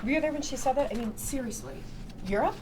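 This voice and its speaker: A high-pitched, talkative wife acted by a woman in a TV drama table read. She gushes and rambles, excitable and anxious, with emphatic delivery. Faint room noise sits behind her. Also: fast talker, close to an everyday voice. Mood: gushing, envious.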